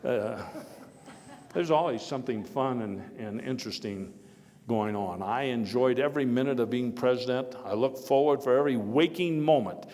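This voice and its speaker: An actor playing a jovial, hearty former American president, giving a folksy speech in a halting, deliberate way. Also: male voice, mid-range voice, darkish tone, lightly acted. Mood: genial. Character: Theodore Roosevelt, jovial, hearty former president telling anecdotes. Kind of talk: live historical dramatization speech to an audience. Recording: echoey hall with long reverb.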